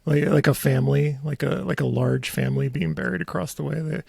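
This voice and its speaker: A man hosting a podcast, chatting casually about local politics in a halting way, with thoughts that trail off. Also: on the high side, gravelly, darkish tone, husky, no room sound. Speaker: podcast host.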